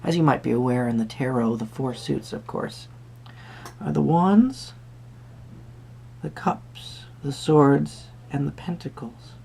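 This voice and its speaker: A man playing an eccentric, courtly tarot card reader, speaking softly and politely to the listener with theatrical, rambling musings and murmured asides in a husky, breathy voice. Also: medium pitch, takes it slowly, slight background noise. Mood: courteous, mysterious. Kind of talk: soft-spoken tarot reading roleplay to the viewer.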